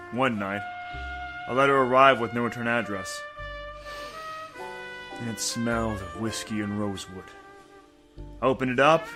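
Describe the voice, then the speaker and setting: An actor playing a world-weary, wisecracking private detective in a hardboiled noir audio drama, dry and sardonic. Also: male voice, medium pitch, close to an everyday voice. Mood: wistful.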